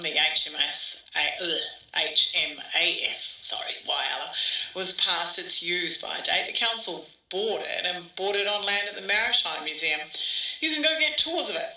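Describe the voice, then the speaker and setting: Older aussie lady reminiscing for a podcast drama, sharp forceful voice, kinda far from mic in a roomy space, rambly. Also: lowish voice, full character voice. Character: wistful older Australian woman reminiscing about her small-town childhood. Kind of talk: interview-style podcast drama, conversational reminiscing. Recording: roomy echo, distant from mic.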